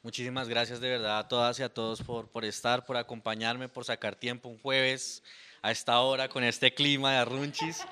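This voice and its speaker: A man's voice: medium pitch, normal speed, smooth. A young man, talking loosely and with lots of restarts as he presents his poetry book at a bookshop event. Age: young adult. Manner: rambling, animated, with fillers and restarts. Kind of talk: casual talk at a book presentation.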